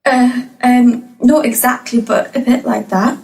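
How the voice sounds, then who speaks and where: A woman's voice: on the high side, fairly even intonation, a little slow, gravelly, darkish tone. An actress playing an anxious, earnest young woman of about twenty who speaks hesitantly, in a comedic audio drama. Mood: anxious, distressed.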